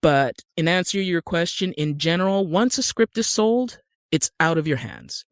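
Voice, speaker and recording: A woman's voice: on the low side, lively intonation, normal speed, no room sound, clean studio sound. Casting: American woman, podcast guest type, conversational, emphatic with wry humor, very little room sound. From Utah, United States. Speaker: guest actor and screenwriter. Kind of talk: casual podcast interview.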